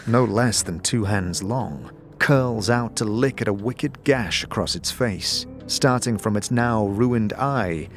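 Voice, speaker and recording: A man's voice: fairly low pitch, slow pace, few pauses, soft background music. A man narrating a scripted fantasy audio drama in the second person, with dramatic, descriptive storytelling. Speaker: narrator and game master.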